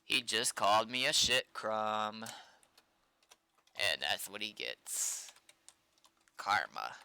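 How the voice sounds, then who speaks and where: A man's voice: on the low side, very animated, slow, gravelly, pushed and bright, clean tone. A young man rambling casually on a livestream, often trailing off into mumbled asides. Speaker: streamer and game developer.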